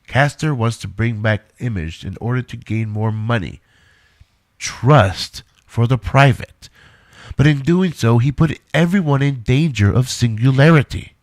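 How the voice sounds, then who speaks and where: A man's voice: mid-range voice, a little slow, darkish tone, no room sound, natural voice. A man playing an earnest scientist who defends his invention in an audio drama. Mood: explaining, uneasy.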